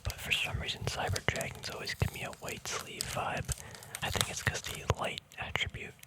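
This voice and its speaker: Asmr host whispering the whole time, casual chat, kinda rambly n hesitant. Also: normal speed. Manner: rambling, hesitant, thinking aloud.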